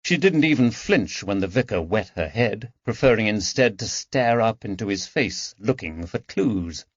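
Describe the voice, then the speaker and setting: A man's voice: fairly low pitch, expressive, normal speed, breathy edge, no echo. A man reading scripted narration from a period mystery novel as an audiobook narrator. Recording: dull lo-fi recording.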